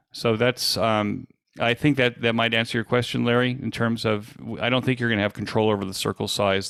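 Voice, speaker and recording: A man with a fairly low voice and a good deal of fry explains things in an informal question session. He pauses and hesitates as he works out his answers. The sound is dry, with little room in it. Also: fairly bright tone, dry recording. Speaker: presenter and podcast host. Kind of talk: informal online presentation Q&A.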